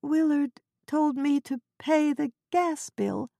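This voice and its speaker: A woman's voice: sits high, steady tone, slow pace, vocal fry, dark tone, dry recording, studio quality, pitched above the performer's own voice, exaggerated voice. A woman voicing a fretful, naive woman in her seventies who talks in anxious, flustered bursts in a storybook reading. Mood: distraught. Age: 70s.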